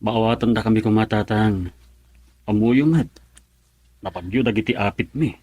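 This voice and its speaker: A man's voice: fairly low pitch, moderate pace, dark tone, breathy edge. A man acting a humble, respectful middle-aged rice farmer and father in an Ilocano radio drama, earnest and deferential. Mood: apologetic, respectful.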